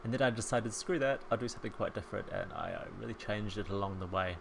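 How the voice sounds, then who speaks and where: A man in his thirties with a soft New Zealand accent, chatting casually as a voice-over while he builds a home in a life-sim game, rambling and self-deprecating. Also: on the low side, fast, vocal fry, a little hiss or hum. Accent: soft New Zealand, softened by years in England. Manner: chatty, rambling, self-deprecating, with frequent ums and small laughs. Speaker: Sims speed-build creator.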